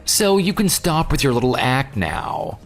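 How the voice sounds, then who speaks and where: Male actor as bitter ex-fiancé, smug and taunting, lively swings in pitch, for an audio drama. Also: measured pace, soft background music. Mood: accusing. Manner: sarcastic, taunting. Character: vengeful jilted ex-fiancé, sarcastic and coldly smug. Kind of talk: dramatized phone dialogue.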